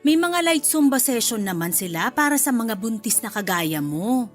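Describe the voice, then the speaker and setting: A woman's voice: a little high, very animated, normal speed, soft background music. A woman playing a cheerful, pushy, youthful mother-in-law in a radio drama. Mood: cheerful coaxing.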